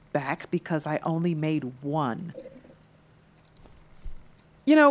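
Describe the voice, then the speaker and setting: A chatty, patient small-town housemate, lower-pitched. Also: female voice. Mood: reading aloud, wry. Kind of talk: naturalistic audio drama acting.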